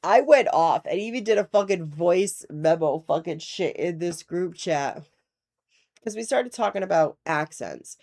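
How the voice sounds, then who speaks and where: A woman's voice: on the low side, animated, measured pace, darkish tone. A woman with a Rhode Island accent, a podcast cohost chatting casually, swearing freely and retelling conversations. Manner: chatty, profane, quotes others in retelling. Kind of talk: casual chat.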